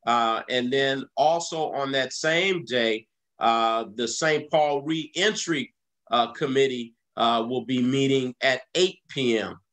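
A middle-aged man, a pastor, reading church announcements over a video call, pausing often with "uh" and "um". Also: medium pitch, fairly bright tone. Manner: halting, many "uh" fillers.

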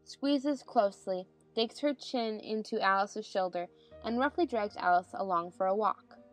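A woman's voice: mid-range voice, moderate pace, faint music behind. A woman narrating a dramatized audiobook, reading the stage directions as scripted narration.

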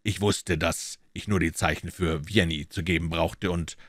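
A calm, shrewd detective from an audiobook, speaking low and measured, never rushing, as a man reads him. The voice sits deep and is recorded close and dry. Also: dry recording. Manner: measured, quiet, matter-of-fact. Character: calm, shrewd male detective, middle-aged.

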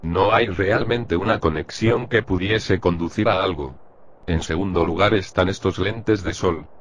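A man's voice playing a methodical older police forensic doctor, explaining formally and in measured phrases in a crime drama. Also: sits low, husky. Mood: clinical. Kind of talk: scripted machinima crime drama dialogue.

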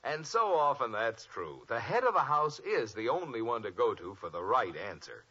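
A man's voice: medium pitch, sing-song, normal speed, sharp tone, slightly rough. An American man announcing a 1950s radio commercial in an emphatic, persuasive style. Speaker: announcer reading sponsor advertisement. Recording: dull vintage radio recording.

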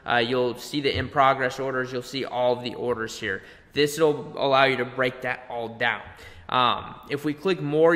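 A young man presenting a conversational software tutorial, explaining step by step with little check-in questions. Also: medium pitch. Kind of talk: conversational screen-share tutorial. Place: indoor room, webcam beside screen capture. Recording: long reverberant room echo.

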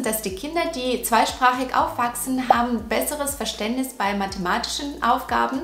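A woman's voice: a little high, fairly bright tone. A woman, talking to camera at home and giving earnest parenting advice. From Russia. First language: Russian. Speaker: parenting vlogger. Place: living room at home. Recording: roomy home echo.

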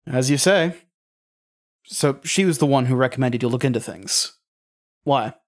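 An actor playing a cool, probing interrogator in an audio drama, speaking in a dry, deliberate, mocking way. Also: male voice, medium pitch, lightly acted. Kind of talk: audio drama acting, naturalistic.

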